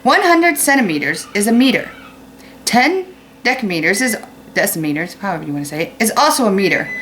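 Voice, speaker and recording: A woman's voice: medium pitch, very animated, brisk, a bit bright, a little hiss or hum. An American woman teaching children in a read-aloud lesson, explaining informally and putting questions to her young listeners. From United States. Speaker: fifth-grade teacher.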